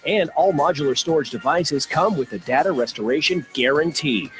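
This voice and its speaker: A man's voice: on the high side, lively intonation, moderate pace, a bit bright. A man reading scripted radio commercial narration as an announcer. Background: music bed.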